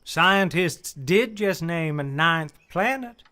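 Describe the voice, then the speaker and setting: High-voiced formal gentleman. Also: lively intonation, full character voice. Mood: earnest, intrigued. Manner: formal, measured storytelling. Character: earnest, thoughtful gentleman recounting an occult mystery. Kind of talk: radio drama acting.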